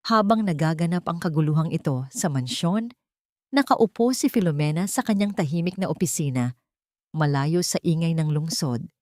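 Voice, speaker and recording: A woman narrating a dramatic love story in a measured, storytelling manner. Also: sits low, animated, darkish tone, dry recording, studio quality.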